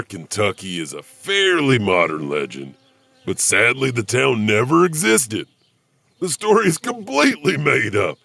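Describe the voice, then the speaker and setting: A man's voice: medium pitch, gravelly, pushed and bright. A man hosting a story podcast, narrating an urban legend as a storyteller.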